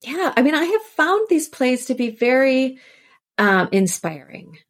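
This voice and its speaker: A woman's voice: high voice, very animated, a little slow. A woman scholar speaking as a podcast guest, explaining ideas thoughtfully and conversationally, often repeating words as she works out a thought.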